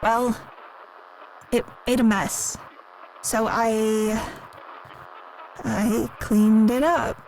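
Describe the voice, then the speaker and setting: An actress playing a timid, lonely, naive museum worker in an audio drama, speaking hesitantly and earnestly in a recorded interview. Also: female voice, on the high side, flat delivery, extremely slow, pauses often, rough recording. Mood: timid, confiding. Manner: hesitant, soft-spoken, earnest. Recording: clearly audible background noise.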